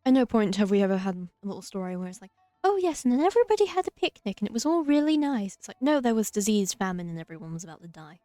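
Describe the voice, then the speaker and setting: A woman gives casual gameplay commentary in a mellow, dark-toned voice at medium pitch. She is dry and wry, closely recorded with very little room sound and faint game music behind. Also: sing-song, quick pace, no echo. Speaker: let's play streamer.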